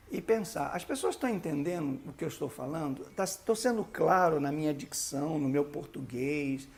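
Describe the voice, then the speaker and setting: The gravel-edged voice of a Brazilian man you'd hear leading a church training session, explaining each point emphatically to volunteer leaders. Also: a little high. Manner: didactic, emphatic, conversational with rhetorical questions. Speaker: pastor teaching a church leadership course. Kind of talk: instructional video teaching with sermon-like exhortation.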